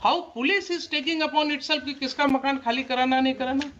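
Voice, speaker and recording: Indian man as presiding judge. Sharp, unusually high voice, admonishing, rhetorical, code-switching, over faint noise. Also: steady tone, a little slow. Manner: stern, admonishing, rhetorical questions; switches between Hindi and English. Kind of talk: courtroom questioning and oral directions. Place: courtroom. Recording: muffled courtroom audio, faint noise, clicks.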